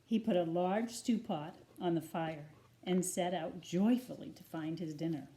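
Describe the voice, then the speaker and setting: A woman reading a children's story aloud in an expressive, deliberate storytelling manner. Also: on the low side. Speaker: storybook reader.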